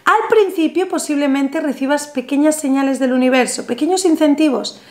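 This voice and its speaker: Woman in her 30s, high voice, soothing how-to talk straight to camera, small bright room. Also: normal speed. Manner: soothing, instructive, addresses the viewer directly. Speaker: spiritual channel host. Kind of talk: guided money ritual tutorial. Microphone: camera in front, seated at a table.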